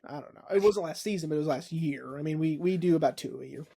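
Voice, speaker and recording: A man's voice: a little high, fast, creaky, dark tone, husky. A man co-hosting a casual podcast, chatty and enthusiastic, full of "you know" and "like.". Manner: chatty, enthusiastic, lots of fillers. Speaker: podcast co-host. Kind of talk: casual chat. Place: home recording.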